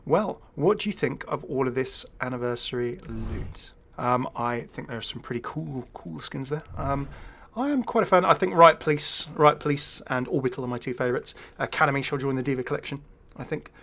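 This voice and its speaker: A man's voice: mid-range voice, brisk, few pauses, a bit bright. A man giving casual, enthusiastic commentary on a game update in a chatty way. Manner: chatty, enthusiastic, readily amused. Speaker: YouTube gaming creator.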